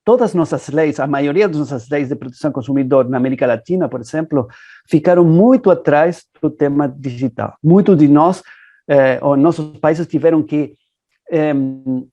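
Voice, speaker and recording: Guy, lawyer on a panel, kinda high but mellow, lots of ehs. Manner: measured, hesitant with many fillers. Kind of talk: panel discussion answer. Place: online webinar.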